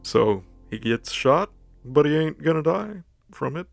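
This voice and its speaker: Creaky-voiced man recapping a story over music. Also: a little high, animated, unhurried. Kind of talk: casual comedic storytelling.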